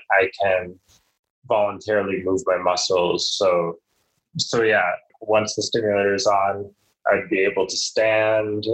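A young man being interviewed as a podcast guest, answering in a plain, matter-of-fact way with short pauses. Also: on the low side, fairly bright tone, poor audio. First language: Polish.

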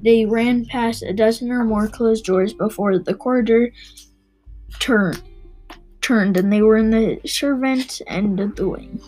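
A woman's voice: on the high side, a little slow, dark tone, faint music behind, rough recording. A woman reading a children's novel aloud, stumbling now and then and rereading phrases. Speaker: reader and host of a read-aloud show.

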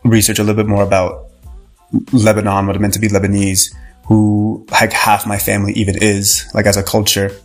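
A man's voice: on the low side, monotone, fairly quick, soft background music. A young man from Canada, a podcast guest, talking casually with lots of fillers and restarts. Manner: rambling, many fillers and restarts. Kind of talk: casual interview talk.

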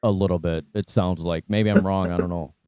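Deep, smooth, dry-sounding male interviewer. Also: fairly even intonation, a little fast, dark tone, dry recording. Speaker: interviewer, co-host. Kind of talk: casual interview.

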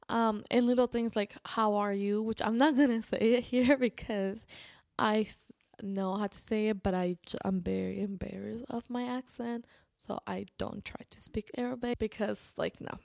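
Creaky-voiced woman chatting casually. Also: medium pitch, fairly even intonation, darkish tone, dry recording, clean studio sound. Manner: informal, halting, restarts sentences and quotes herself often. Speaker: vlogger. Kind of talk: casual personal storytelling to camera.

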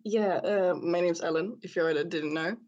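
A woman's voice: lowish voice, fairly quick, darkish tone. Swedish teen girl with a cold, lo-fi. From Sweden. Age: teens. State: has a cold, sniffling. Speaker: podcast co-host. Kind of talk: casual chat.

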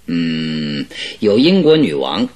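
An actor in a radio drama playing a genial, self-assured detective with a teasing, politely probing manner. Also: male voice, deep voice, big pitch swings, slow, darkish tone, full character voice. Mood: amused, teasing. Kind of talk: Chinese radio drama acting.

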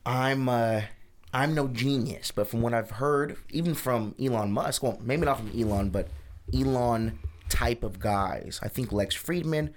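A man guesting on a casual podcast, his voice sitting at an ordinary middle pitch. He jokes bluntly and swears freely. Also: unhurried. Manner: joking, blunt, profane. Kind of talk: casual chat.

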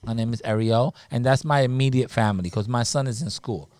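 A man's voice: medium pitch, fairly quick, darkish tone, no echo. A middle-aged man chatting casually with a group of children, easygoing, repeating himself and restarting his sentences. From United States. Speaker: staff member talking with children. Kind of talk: casual chat with kids.

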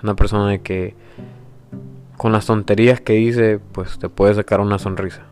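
A young man from El Salvador with a very deep voice talks reflectively, pausing and restarting as he introduces his podcast. Faint guitar music plays quietly behind him. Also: little pitch movement. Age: 20s. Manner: reflective, hesitant, with pauses and restarts. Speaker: podcast host. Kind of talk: personal introduction monologue.

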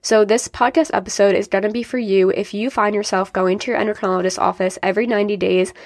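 A woman's voice: mid-range voice, no room sound, studio quality. A young adult woman hosting a solo podcast, sounding a bit nasal and congested from a sinus infection, explaining things upbeat and directly to her listeners. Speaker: podcast host and diabetes coach.

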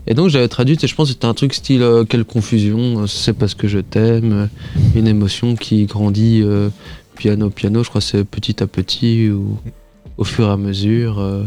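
A man's voice: lowish voice, steady tone, normal speed, mellow. A man co-hosting a casual radio chat, talking hesitantly with many fillers. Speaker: radio show co-host.

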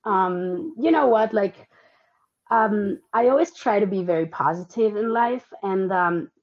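A woman's voice: medium pitch, unhurried. A woman who grew up in Italy, a podcast guest chatting casually and hesitantly with lots of ums and restarts. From Argentina and Italy. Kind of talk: casual interview chat. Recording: dull lo-fi audio.

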